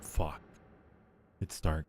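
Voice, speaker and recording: A man livestreaming a horror game, chatting playfully with viewers, muttering to himself and reading on-screen text aloud. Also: very slow. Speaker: live streamer. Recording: music playing behind the voice. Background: game music.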